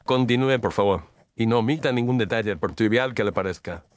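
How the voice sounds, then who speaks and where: A man's voice: on the low side, fairly quick, no room sound, close to an everyday voice. A man playing a composed, astute Victorian detective, speaking formally and incisively in an audio detective story. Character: composed, astute Victorian male detective, courteous but commanding.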